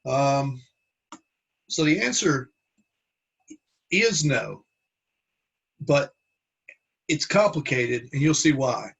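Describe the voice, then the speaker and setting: A man with a husky, slightly rough voice leads a Bible class, working through his slides out loud. He talks haltingly, stopping to restart words and filling the gaps with "uh" and "um". His pitch sits in a middle range. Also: animated. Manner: halting, with many restarts and fillers.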